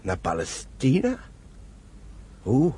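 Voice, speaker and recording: An actor playing a cautious older rabbi in a radio drama, speaking gravely and deliberately as he counsels and admonishes. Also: medium pitch, wildly swooping pitch, warm tone, exaggerated voice. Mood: incredulous, admonishing. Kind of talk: Dutch radio drama acting. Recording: noisy old radio play recording.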